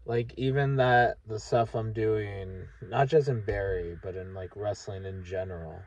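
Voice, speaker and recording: A man of about thirty, talking frankly and haltingly in a sweary, candid personal vlog monologue. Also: on the low side, a little mellow, far from the mic, muffled recording. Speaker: vlogger. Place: inside a parked vehicle at night.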